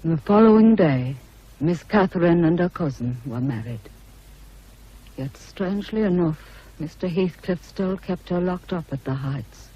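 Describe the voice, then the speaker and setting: A performer narrating calmly as a household servant in a radio drama. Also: mid-range voice, a little slow, breathy edge. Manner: calm storytelling. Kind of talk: scripted narration. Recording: dull, lo-fi radio recording.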